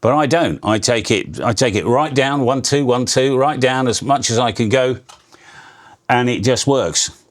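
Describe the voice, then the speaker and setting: A man giving a casual hands-on radio tutorial, a loud, energetic talker who explains in a chatty way with frequent restarts. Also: medium pitch, a little slow. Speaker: radio channel host.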